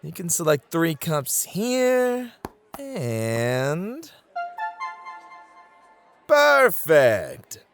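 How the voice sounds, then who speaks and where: A man playing a flirty, gentlemanly club host who teases and gently coaches in an ASMR roleplay, with courteous, theatrical phrasing. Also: a little high, very animated, very slow, pauses often, faint music behind, heavily acted. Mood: flirty, guiding.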